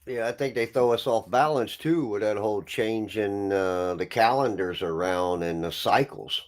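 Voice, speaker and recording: A man's voice: lowish voice, normal speed, no echo, studio quality. A man who is a guest on a livestream panel, speaking in a drawling, preachy way. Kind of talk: casual livestream chat.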